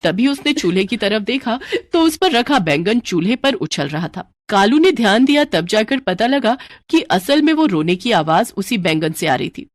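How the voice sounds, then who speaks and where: A woman's voice: mid-range voice, very animated, normal speed, no echo, clean studio sound. A woman narrating a scripted children's moral story as storyteller.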